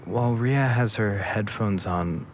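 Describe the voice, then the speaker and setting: A man playing a gentle, tentative, caring young man in a naturalistic audio drama. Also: on the low side, measured pace, natural voice. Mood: apologetic, tender. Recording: dull recording with faint background noise.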